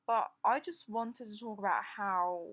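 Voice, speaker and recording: A woman in her twenties from Singapore, with a Singaporean English accent softened by years in the UK, talking in an impromptu vlog with frequent restarts and stammers while recovering from jaw surgery. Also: medium pitch, measured pace. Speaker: vlogger.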